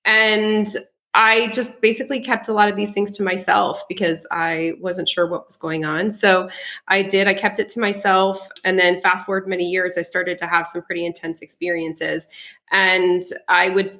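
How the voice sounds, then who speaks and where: Chatty American woman, slightly low voice. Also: steady tone, moderate pace, sharp tone. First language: French. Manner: chatty, laughs often while talking. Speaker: podcast guest, psychic. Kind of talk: casual conversational interview.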